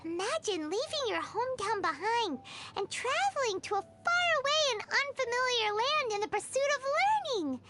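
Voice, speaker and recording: A woman voicing a small, childlike flying companion in a video game, squeaky, bubbly and excitable. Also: moderate pace, few pauses, background noise. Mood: curious, amazed. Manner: bubbly, exclamatory, speaks of herself in third person. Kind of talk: scripted video game dialogue.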